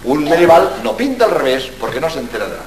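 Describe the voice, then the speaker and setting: An older man, a Jesuit priest from Spain, gives a lively public lecture full of jokes and rhetorical questions. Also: fairly high pitch, quick pace, a little hiss or hum. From Spain, born in Barcelona. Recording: very echoey hall, mic far off.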